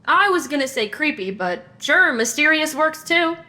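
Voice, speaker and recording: A young woman playing a sharp, decisive investigator in a supernatural comedy audio drama, teasing with deadpan banter. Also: sits high, moderate pace. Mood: intrigued, decisive.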